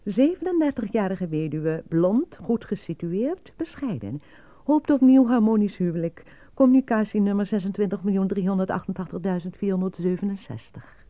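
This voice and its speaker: Een actrice die in een hoorspel een omroepster speelt die formeel en weloverwogen berichten voorleest. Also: female voice, medium pitch, lively intonation, dry recording, lightly acted. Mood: measured announcing. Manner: deliberate, formal announcing. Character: measured female announcer reading slogans and lonely-hearts ads. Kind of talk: scripted announcement in a radio play. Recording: dry, narrow phone-like old recording.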